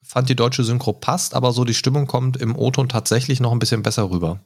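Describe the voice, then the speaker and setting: A man's voice: mid-range voice, fairly even intonation, fast, a little mellow. A man hosting a podcast, chatting casually and often adding filler words and tag questions. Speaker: podcast host. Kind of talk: casual chat.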